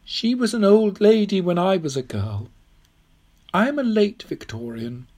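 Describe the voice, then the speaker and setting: A narrator voicing a little old Victorian woman in an audiobook, insistent, tender and delighted, with drawn-out phrases. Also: medium pitch, animated, darkish tone, pitched above the performer's own voice. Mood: patient. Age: older. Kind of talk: audiobook character voice.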